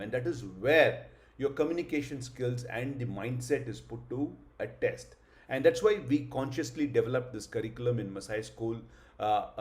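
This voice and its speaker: A man's voice: mid-range voice, warm tone. A middle-aged man giving a live online class, earnest, with many hesitant fillers and rhetorical questions. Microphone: video call.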